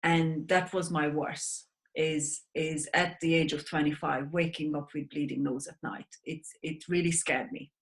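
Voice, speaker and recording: A woman's voice: low-pitched, fairly even intonation, unhurried, hardly any pauses. A woman in her thirties from Hungary with a Hungarian accent, a guest in an interview, speaking earnestly with frequent restarts over a video call. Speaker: guest, sleep advocate. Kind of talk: interview conversation.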